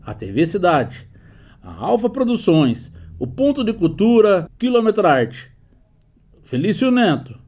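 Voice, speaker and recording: High, lively man narrating formally. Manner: formal announcer reading. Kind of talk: scripted narration.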